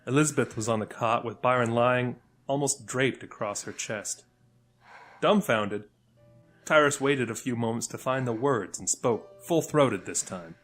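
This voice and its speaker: A man narrates scripted fiction as a storyteller. His voice is mid-range, with quiet music playing behind him. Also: mid-range voice, unhurried. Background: faint music.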